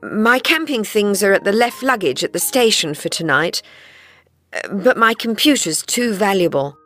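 A man's voice: high-pitched, lively intonation, normal speed, sharp tone, soft background music, pitched above the performer's own voice. A man voicing a nervous young girl. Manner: nervous, careful. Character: teenage girl, quick-thinking, bluffing nervously.

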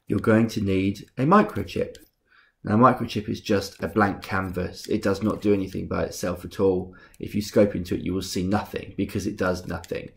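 A young man with a cold, sounding husky and rough and a bit bunged up, calmly talking through a game-making tutorial step by step with frequent ums. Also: sits low. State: congested from a cold. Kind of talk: screen-recorded software tutorial.

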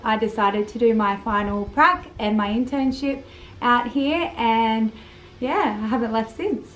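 A woman's voice: fairly high pitch, a little slow. Young Australian woman, echoey classroom. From South Coast of New South Wales, Australia. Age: young adult. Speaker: kindergarten teacher. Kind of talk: casual to-camera interview.

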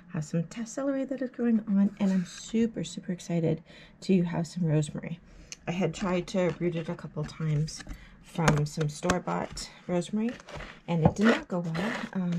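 Thirties woman, low-ish voice, friendly garden-vlog host, noisy home recording. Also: dark tone. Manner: chatty and upbeat, walking through her plants. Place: house interior, rooms and furnace room. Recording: noisy home room with furnace hum.

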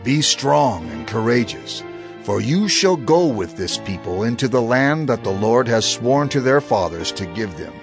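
An actor playing an elderly male prophet and leader exhorting his people in a scripture audio drama, earnest and oratorical. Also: mid-range voice.

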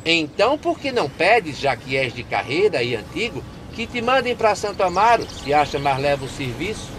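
An actor playing a cynical, complaining gravedigger in an animated film, speaking emphatic verse. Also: male voice, fairly high pitch, normal speed, noisy background. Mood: sardonic. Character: grumbling male cemetery gravedigger, cynical and worn-down.

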